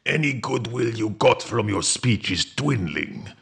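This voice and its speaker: A man's voice: medium pitch, expressive, measured pace. A man playing a serious henchman reporting to his leader in an audio drama, grave and deferential.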